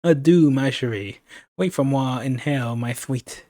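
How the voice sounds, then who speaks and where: A man's voice: mid-range voice, a little slow, warm tone, no echo, clean studio sound, close to an everyday voice. A man voicing a pompous, theatrical goblin villain with a French accent in a fantasy story. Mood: triumphant. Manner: pompous, dramatic.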